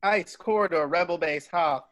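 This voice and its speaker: A man's voice: on the high side, moderate pace, fairly bright tone, no room sound. A man reading stage directions as scripted narration, giving scene headings in clipped fragments.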